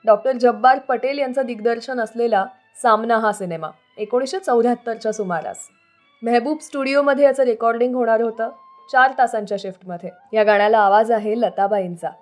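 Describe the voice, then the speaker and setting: A woman's voice: a little high, a little fast. A woman hosting a radio show, narrating scripted stories about old songs. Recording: music playing under the voice. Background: background music.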